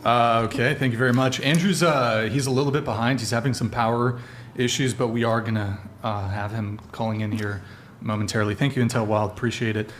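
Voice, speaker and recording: A man hosting a casual panel podcast, relaxed and hesitant, with lots of fillers. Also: medium pitch, brisk, slight background noise. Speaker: podcast host.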